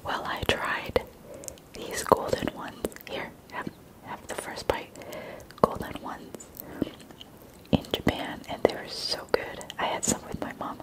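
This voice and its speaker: Young woman whispering asmr, slow and soft, stuffy whistly nose, close binaural mic. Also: poor audio. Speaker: ASMR host. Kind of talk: whispered ASMR eating video. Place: indoors at a table.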